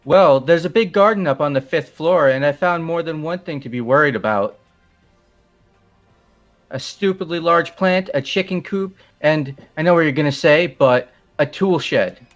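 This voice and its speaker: Casting: man playing a slow-witted, easygoing young guy in a game, highish voice, casual and incredulous, light music underneath. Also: natural voice. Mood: earnest, casual. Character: dim, easygoing young man, held back in school, exasperated. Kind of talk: voiced visual novel game playthrough.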